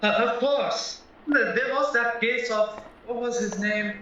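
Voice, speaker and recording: Male actor as nervous naive young man, rambling, polite, bright voice, distant muffled recording, radio drama. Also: very high-pitched, measured pace, slight background noise, exaggerated voice. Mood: anxious. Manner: anxious, polite, hesitant, rambling. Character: nervous young male drifter accused of murder, naive and chatty. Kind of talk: stage-style radio play acting. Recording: roomy, distant, muffled, music underneath.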